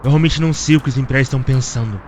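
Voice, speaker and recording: A man's voice: mid-range voice, very fast, darkish tone, natural voice. A man with a Brazilian accent playing a broad-shouldered, fatalistic slave in an audio drama, dismissive and resigned. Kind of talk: audio drama dialogue in Portuguese. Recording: clearly noisy, outdoor ambience behind.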